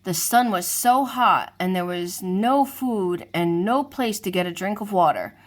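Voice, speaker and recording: A woman reading a children's storybook aloud to kids, lively and expressive. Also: medium pitch, unhurried. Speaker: storybook reader.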